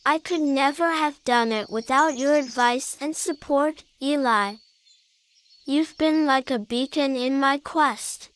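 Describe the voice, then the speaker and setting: A woman's voice: high-pitched, slow, a bit bright, voice pushed up. A woman voicing a shy but eager young male lion cub in a children's storybook, speaking earnestly. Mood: grateful.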